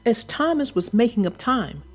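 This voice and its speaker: A woman narrating a children's book in an expressive read-aloud storytelling style. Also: fairly high pitch, normal speed, soft background music.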